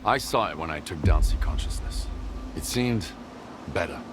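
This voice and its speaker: An actor playing a bitter, secretive male loner in a video game cutscene, speaking in a low, grave and guarded way. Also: expressive, normal speed, music in the background. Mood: grim.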